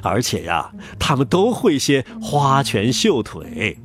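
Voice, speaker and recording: A man narrating a children's story in an expressive, playful way. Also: medium pitch, slow pace. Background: music.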